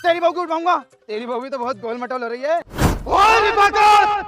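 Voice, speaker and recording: A man's voice: extremely high pitch, lively intonation, normal speed, loud music behind the voice. A man playing a brash, boastful villager in a comedy skit, combative and taunting. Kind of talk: loud Hindi village comedy skit acting.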